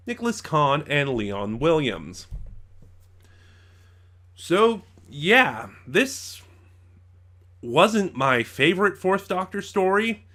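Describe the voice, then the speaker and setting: Man giving a halting, informal review. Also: a little high, pushed and bright. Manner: halting, conversational, circles back and rephrases. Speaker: reviewer and host of his own channel. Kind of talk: informal review to camera or mic, loosely scripted.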